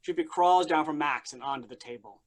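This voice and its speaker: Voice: medium pitch, a little fast. A narrator reading stage directions in a scripted narration style at a staged play reading.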